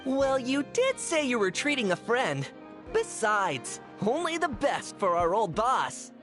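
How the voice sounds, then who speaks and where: A woman playing a cheeky, warm-hearted youngster from a street gang in a video game. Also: on the high side, very animated, a little slow, faint music behind. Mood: cheerful. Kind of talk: video game character dialogue.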